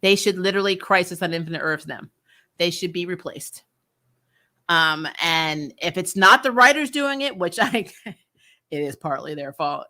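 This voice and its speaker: A woman's voice: lowish voice, bright, forceful tone. A woman hosting a casual, opinionated live review, talking in a blunt, exasperated, sweary way and laughing as she rants. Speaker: host of a TV review show.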